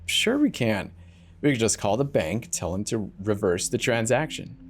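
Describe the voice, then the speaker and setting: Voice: mid-range voice, animated, normal speed, slight background noise, close to an everyday voice. Earnest, cautious husband, voiced by a narrator. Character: principled, cautious husband, mildly reproving. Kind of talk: dramatic story reading. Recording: background music behind the voice.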